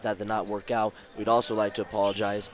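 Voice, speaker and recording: A man doing play-by-play at a basketball game, his voice sore and worn from illness, calling the action in short brisk bursts. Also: fairly low pitch, level tone. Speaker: play-by-play announcer. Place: school gym courtside. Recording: loud crowd and gym noise behind.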